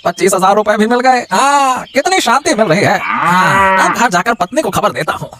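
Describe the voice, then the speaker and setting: Man acting an excitable, high-voiced cartoon villager. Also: moderate pace, a crowd in the background. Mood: gleeful. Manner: stammering repetition, exclamatory. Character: excitable, grumbling village man in animated folk tale. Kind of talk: cartoon moral-story voice acting.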